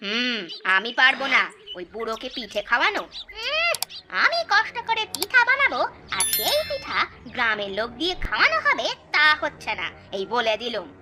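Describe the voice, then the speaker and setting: Sulky mean young village wife, super high petulant voice, sarcastic, kids cartoon with music behind. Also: female voice, huge pitch swings, normal speed. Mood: indignant. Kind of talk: Bengali children's cartoon voice acting.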